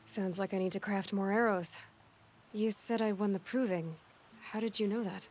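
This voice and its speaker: A woman's voice: medium pitch, steady tone, normal speed, a little mellow, slight background noise, close to an everyday voice. A woman playing a resolute, plain-spoken young outcast huntress in a video game. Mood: focused, inquiring. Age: young adult. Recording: game music behind the voice.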